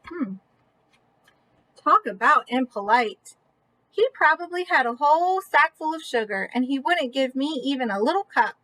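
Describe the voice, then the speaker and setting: Woman reading as a high-voiced, self-excusing storybook wolf. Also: expressive, moderate pace, sharp tone, poor audio. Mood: aggrieved, mock-innocent. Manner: folksy, mock-innocent storytelling. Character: indignant, self-excusing male wolf telling his story. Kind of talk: children's picture book read-aloud.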